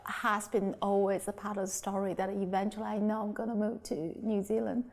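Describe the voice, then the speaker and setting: Soft-spoken woman from China. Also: medium pitch, little pitch movement, moderate pace. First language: Mandarin. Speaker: interviewee. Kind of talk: documentary interview.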